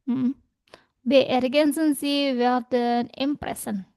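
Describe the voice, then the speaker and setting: Female language teacher, higher-pitched, patient and explanatory, with a verbal "ya" tic, close and dry. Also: steady tone, darkish tone, clean tone, dry recording. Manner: patient, tags nearly every phrase with a quick "ya"; switches between German and Indonesian to explain. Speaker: German teacher. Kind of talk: online language lesson.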